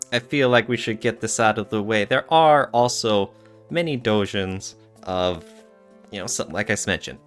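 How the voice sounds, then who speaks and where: A man's voice: lowish voice, a bit bright, clean tone. A man co-hosting a podcast in casual discussion, often searching for his words. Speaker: podcast co-host.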